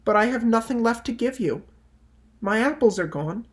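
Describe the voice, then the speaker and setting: A man voicing a gentle, loving female tree in a picture-book read-aloud, coaxing and tender. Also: extremely high pitch, animated, normal speed, voice pushed up. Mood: sorrowful, apologetic.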